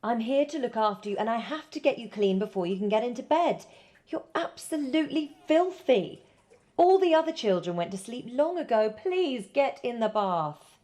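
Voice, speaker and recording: An actress playing a firm but caring wartime nurse in a radio play, brisk, proper and bossy. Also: female voice, a little high, animated, mellow, natural voice. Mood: brisk, exasperated. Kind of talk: 1940s-set radio play acting.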